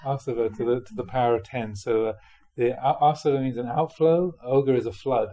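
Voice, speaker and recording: Mellow man reading spiritual teachings aloud. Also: medium pitch, steady tone, a little fast. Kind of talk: reading a dharma talk aloud with informal asides.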